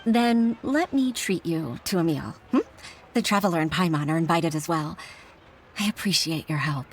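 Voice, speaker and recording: A woman's voice: low voice, normal speed, slight background noise. A woman playing an earnest, humble secretary and mother, speaking politely and heartfelt in an anime video game. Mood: courteous.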